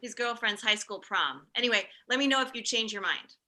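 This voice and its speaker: A woman performs a pushy, syrupy cartoon character with a very sharp, bright edge. The pitch is a bit high and swings widely, with blunt comic delivery. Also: lively intonation, a little fast. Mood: blunt, gloomy. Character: blunt comedy booker and chirpy mommy-group leader. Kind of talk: comedy table read of an animated pilot.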